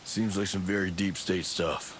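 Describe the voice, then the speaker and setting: An actor playing a steady special forces operative in a video game, talking in a terse, matter-of-fact way. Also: male voice, low-pitched, normal speed, a little hiss or hum. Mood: serious. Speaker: video game protagonist, soldier. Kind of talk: video game cutscene dialogue.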